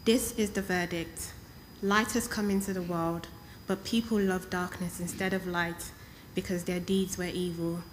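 A woman's voice: lowish voice, a little hiss or hum, low-quality recording. A woman reading a Bible passage aloud at a stand mic in a church. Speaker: scripture reader. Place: church, indoors.

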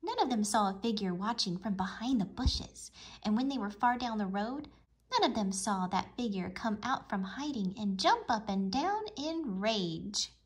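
A woman reading a children's storybook aloud with expressive, deliberate storytelling. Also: mid-range voice. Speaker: storybook reader. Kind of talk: children's read-aloud narration.

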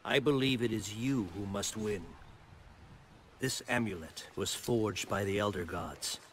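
An actor playing a wise, solemn thunder god and mentor in a video game, giving grave, measured counsel. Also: male voice, lowish voice, a bit bright, slight background noise. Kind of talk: video game drama acting.

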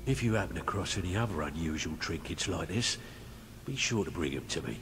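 A man playing a shrewd, gruff middle-aged thief in a fantasy game, talking bluntly and colloquially. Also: sits low, lively intonation, brisk, a bit bright. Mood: conspiratorial. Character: gruff, shrewd middle-aged male thieves' guild fixer. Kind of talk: fantasy video game dialogue acting. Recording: noisy game capture with ambience.

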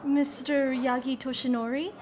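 A woman's voice: high-pitched, flat delivery, moderate pace, noisy background, close to an everyday voice. A woman playing a polite, matter-of-fact professional in an anime comic dub. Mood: composed. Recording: music behind the voice.

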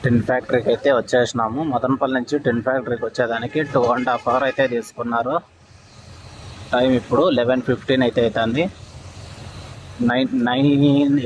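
A man narrating a casual bus travel vlog, chatting to his viewers as friends. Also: medium pitch. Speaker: travel vlogger. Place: on a bus and at bus stands, outdoors. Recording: phone audio with loud road noise.

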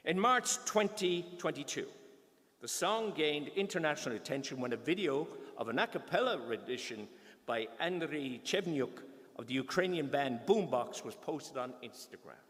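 An older man presenting a concert, speaking in a measured, explanatory way from a lectern. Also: fairly high pitch, lively intonation, a bit bright. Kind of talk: stage announcing. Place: large concert hall on stage. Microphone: lectern microphone. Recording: long hall reverb.

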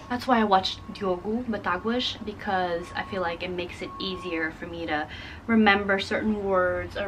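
A woman's voice: mid-range voice, a little hiss or hum, poor audio. A woman in her twenties, a native Portuguese speaker, chatting casually to the camera in her bedroom, rambling with lots of fillers. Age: 20s. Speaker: vlogger. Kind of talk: casual vlog chat. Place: bedroom at home. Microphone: camera on a desk in front.

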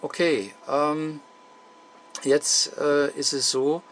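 A man's voice: a little high, little pitch movement, drawn-out words, a bit bright, slight background noise. A man gives an unscripted technical explanation as a host, methodically and with frequent hesitations. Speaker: host demonstrating electronics repair. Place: indoor electronics workbench.

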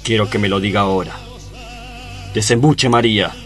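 A man's voice: lowish voice, moderate pace, fairly bright tone, close to an everyday voice. An actor playing a proud, lovesick tough guy in a tango radio play, with an Argentine accent and poetic, dramatic delivery. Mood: proud, demanding. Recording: music behind the voice.

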